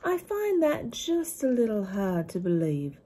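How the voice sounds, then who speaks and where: A woman's voice: medium pitch, sing-song, a little slow, warm tone, slightly rough, pitched above the performer's own voice. A woman voicing a curious, polite little girl in a storybook reading. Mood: doubtful. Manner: polite, questioning.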